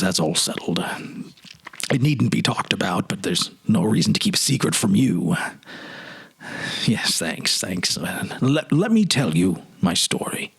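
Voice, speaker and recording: Man acting a breathy, haunted middle-aged politician. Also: sits low, fairly bright tone, full character voice, rough recording. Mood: remorseful, confiding. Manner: halting, sorrowful, dramatic delivery. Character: weary, haunted forty-year-old British politician confessing regret. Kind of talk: dramatic literary audiobook reading.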